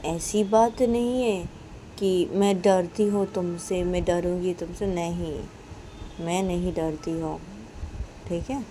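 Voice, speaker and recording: A woman's voice: on the low side, fairly even intonation, takes it slowly, dark tone, slight background noise. A woman voicing a possessive young woman in love who presses with repeated questions.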